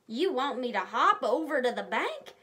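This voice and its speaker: A woman's voice: sits high, sing-song, moderate pace, bright, forceful tone, full character voice. A woman voicing a dim, eager young male deputy in a children's storybook, cheerful and literal-minded.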